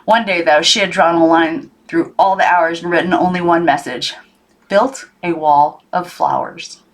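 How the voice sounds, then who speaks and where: A woman reading a children's novel aloud as an audiobook narrator, in an expressive storybook style. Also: fairly low pitch, unhurried, rough recording. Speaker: audiobook reader.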